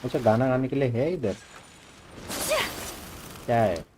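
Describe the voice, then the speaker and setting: A man's voice: medium pitch, expressive, very fast, noisy background. A man with an Indian accent giving casual gameplay commentary as a streamer, switching between Hindi and English, with game music behind him.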